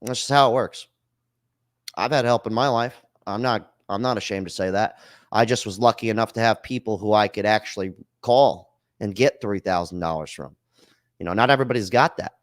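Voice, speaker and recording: A man's voice: lowish voice, dry recording, studio quality. A man hosting a call-in podcast, talking loosely and bluntly in slang, swearing freely. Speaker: podcast host. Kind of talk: casual chat.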